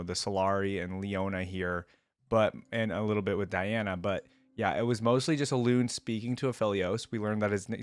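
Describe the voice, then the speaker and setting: A man's voice: fairly low pitch, dry recording. A man hosting a reaction video with casual, rambling commentary, thinking aloud in an informal way.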